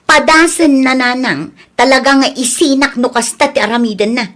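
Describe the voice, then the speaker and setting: A woman's voice: sits high, moderate pace, fairly bright tone. A woman with an Ilocano Filipino accent acting a long-suffering but defiant young wife and mother in an audio drama. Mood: resigned.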